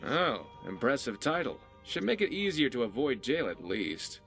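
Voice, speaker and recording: Sardonic pirate companion for a video game, curious, chatty, really expressive, bit of music behind. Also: male voice, medium pitch, normal speed. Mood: wry, musing. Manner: sardonic, conversational. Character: wry, curious, easygoing pirate adventurer companion. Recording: background music under the voice.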